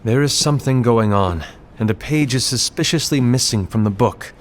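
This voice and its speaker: An actor playing a grave, suspicious leader of a fantasy order in a game, speaking solemnly and formally with deliberate phrasing. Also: male voice, medium pitch, a little hiss or hum, natural voice. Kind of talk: scripted game cinematic acting.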